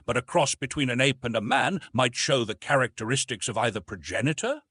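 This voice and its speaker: A man's voice: mid-range voice, expressive, a little fast, pushed and bright, breathy edge, no room sound, studio quality. A man voicing an eager, curious young ape man in a storybook, with formal, questioning speech.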